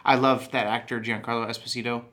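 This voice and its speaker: A man from Texas hosting a casual chat, talking in a rambling, animated way that keeps breaking off and restarting. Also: mid-range voice, fast talker. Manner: rambling, animated, self-interrupting. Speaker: podcast host.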